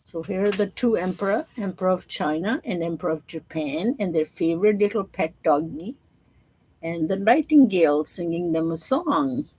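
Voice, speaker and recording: A woman's voice: on the low side, animated, moderate pace, darkish tone, breathy edge, low-quality recording. A woman storyteller reading a children's storybook aloud and explaining it simply to young listeners.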